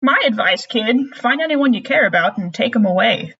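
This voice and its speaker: A woman's voice: on the high side, expressive, normal speed, a bit bright, dull audio, slight background noise. A woman voicing a blunt, world-weary adult woman who talks directly.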